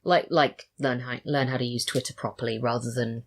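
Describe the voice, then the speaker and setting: A woman's voice: extremely low pitch, fairly even intonation, a little fast. A woman chatting casually as a podcast guest, thoughtful and hesitant, often trailing off. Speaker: podcast guest, audio drama writer. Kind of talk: casual interview chat.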